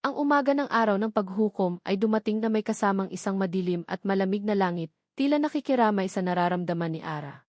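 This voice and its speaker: A woman's voice: medium pitch, no echo, studio quality. A woman with a Filipino accent reading a scripted, dramatic love story as its narrator.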